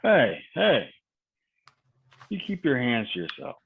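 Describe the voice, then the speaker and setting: A man's voice: medium pitch, huge pitch swings, takes it slowly, gravelly, breathy edge, exaggerated voice. A man playing an exasperated, defensive husband in a marital row at a script table read. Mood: indignant. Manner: indignant. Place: remote video call rehearsal. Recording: thin, phone-like video call audio.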